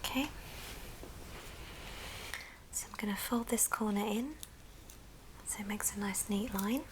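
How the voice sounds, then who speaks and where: A woman whispering a gentle, breathy, step-by-step ASMR craft tutorial. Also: medium pitch. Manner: slow, gentle step-by-step instruction. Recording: noisy background, handling clicks.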